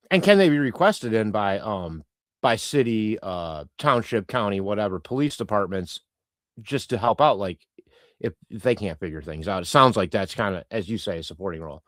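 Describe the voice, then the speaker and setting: A man's voice: on the low side, expressive, normal speed, fairly bright tone, no echo, studio quality. A man whose native language is Croatian, hosting a casual livestream and reading out chat in a rambling, chatty way with many fillers and restarts. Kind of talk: casual live-stream chat.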